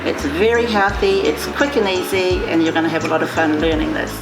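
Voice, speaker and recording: Cheery nz lady showing how to cook, lowish voice, echoey kitchen, loud music under it. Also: fairly quick. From New Zealand. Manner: upbeat, encouraging, talks while cooking. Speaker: childcare cooking demonstrator. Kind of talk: friendly cooking demonstration. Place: kitchen indoors.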